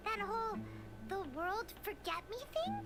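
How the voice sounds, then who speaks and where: Squeaky, very high childlike fairy. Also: female voice, sing-song, unhurried, loud music behind the voice, heavily acted. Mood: worried, surprised. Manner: squeaky, cutesy, exclamatory. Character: tiny childlike female fairy companion, excitable, speaks in third person. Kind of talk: scripted video game dialogue.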